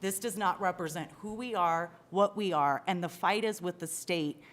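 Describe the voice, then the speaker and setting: A woman's voice: fairly low pitch, moderate pace, fairly bright tone. A woman answering as a school board candidate at a forum, blunt and emphatic. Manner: blunt, declarative.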